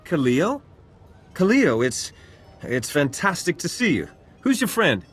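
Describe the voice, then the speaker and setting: A clingy, lovesick gambler in a video game. His voice is fairly high and very animated, with a nervous, halting earnestness, over soft music. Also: slightly rough, heavily acted. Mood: nervous delight.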